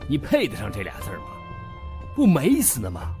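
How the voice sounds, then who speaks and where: An actor playing a gruff, protective middle-aged uncle in an audio drama, blunt and bossy. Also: male voice, medium pitch, huge pitch swings, fairly quick, warm tone. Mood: scornful. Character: gruff, protective uncle, foul-mouthed henchman of grandfather. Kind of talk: Chinese audio drama acting. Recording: music behind the voice.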